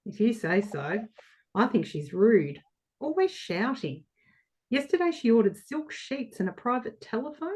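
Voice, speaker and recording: A woman playing a brisk, no-nonsense and slightly dim hospital assistant in a comic play, matter-of-fact and nagging. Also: on the high side, very animated, darkish tone, husky, exaggerated voice. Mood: unimpressed. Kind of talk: comic stage play reading.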